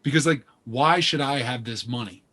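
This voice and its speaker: A man's voice: mid-range voice, moderate pace, sharp tone. A man acting as an earnest, self-deprecating aspiring novelist in a stage play, hesitant and apologetic. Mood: defensive, uneasy. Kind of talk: naturalistic stage play dialogue.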